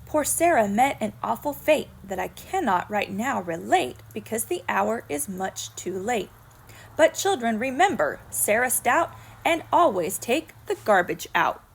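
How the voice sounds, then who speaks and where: A woman teacher doing a children's read-aloud of rhyming poems in an expressive storytelling manner. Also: fairly high pitch, a little slow, creaky, breathy edge, low-quality recording.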